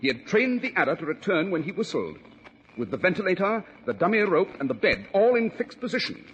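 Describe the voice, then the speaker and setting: A man playing a brisk, self-assured detective in a 1940s radio drama, theatrical and commanding with precise diction. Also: high-pitched, big pitch swings, fairly bright tone, highs cut off. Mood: self-assured explaining. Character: brisk, self-assured male English detective, coolly unsentimental. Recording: noisy vintage 1940s radio recording.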